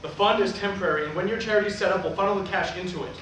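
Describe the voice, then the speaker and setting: A man's voice: sits high, rapid-fire, a bit bright. An actor playing a stern, evasive middle-aged preacher father with a curt manner in a staged reading. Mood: businesslike. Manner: curt, dismissive. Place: indoor theatre stage. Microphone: distant camera microphone. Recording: echoey stage recording, far off, noisy.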